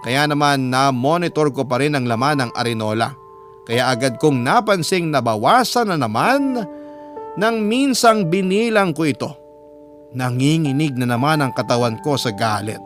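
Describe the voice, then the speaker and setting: A man's voice: fairly high pitch, big pitch swings, normal speed. A man with a Filipino accent playing a troubled, suspicious letter sender, narrating in the first person for a radio drama. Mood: angry, resolved. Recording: guitar music under the voice. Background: guitar music.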